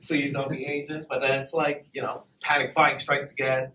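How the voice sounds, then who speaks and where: A man's voice: medium pitch, flat delivery, normal speed, sharp tone, slight background noise. A young man doing a brash, profane stand-up set at an open mic, laughing between punchlines. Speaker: stand-up comedian. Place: small comedy club stage, in front of an audience. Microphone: handheld mic, filmed from far back in the room. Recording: roomy club sound from far off. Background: audience laughter.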